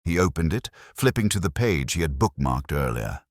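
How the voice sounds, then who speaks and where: Male narrator for detective fiction: extremely deep, measured, literary, expressive pitch movement, dry recording. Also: no room sound. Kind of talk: scripted mystery story narration.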